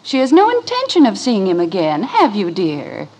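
A woman playing a patient, reasonable middle-aged wife and mother in a 1950s radio comedy, gentle and measured. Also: mid-range voice, huge pitch swings. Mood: gently reasoning. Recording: noisy, crackly old radio recording.

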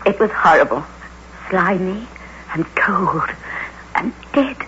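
A woman's voice: fairly low pitch, animated, takes it slowly, fairly bright tone. Female, fragile jealous wife, dramatic swooping delivery, radio play, muffled noisy recording. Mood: pleading, fretful. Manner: fretful, dramatic, pleading. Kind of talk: old-time radio drama acting.

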